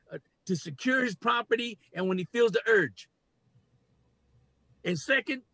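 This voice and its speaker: Domineering leader of men, played by an older man, high-pitched and declamatory, dry sound, stage reading. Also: bright, forceful tone, no room sound, full character voice. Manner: declamatory, commanding. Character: domineering man who proclaims human rule over animals. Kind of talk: dramatic play reading. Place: cluttered home room, video call. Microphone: computer mic on video call.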